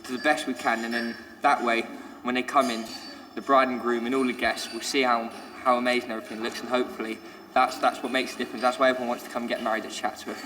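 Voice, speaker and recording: A man's voice: mid-range voice, flat delivery, fast, hardly any pauses, sharp tone. A man, a trainee supervisor, talking in an upbeat, self-assured way with restarts while he works. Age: 20s. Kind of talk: documentary interview and on-the-job talk. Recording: roomy space with clear background noise.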